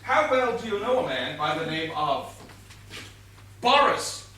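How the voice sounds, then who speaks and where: An actor playing a pompous, excitable, bumbling police inspector in a stage comedy, theatrical and blustering. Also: male voice, fairly high pitch, measured pace, exaggerated voice. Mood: probing. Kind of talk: stage comedy murder mystery acting. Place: theatre stage, recorded from a distance. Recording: roomy distant stage recording, noisy.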